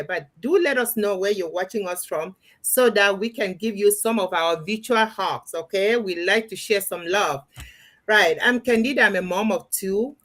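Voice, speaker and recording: Chatty woman hosting a live panel. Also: mid-range voice. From Cameroon. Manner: chatty, warm asides to viewers. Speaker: host of a live panel show.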